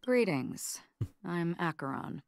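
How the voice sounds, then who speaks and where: A woman voicing a solemn, polite, stoic wandering warrior in a video game, grave and measured. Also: on the low side, expressive, a little mellow. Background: game music.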